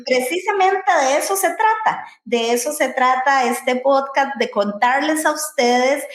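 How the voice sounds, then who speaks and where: A woman's voice: sits high, expressive, unhurried. Upbeat Costa Rican woman, echoey room. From Costa Rica. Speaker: podcast host. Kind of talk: casual podcast chat. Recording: roomy echo.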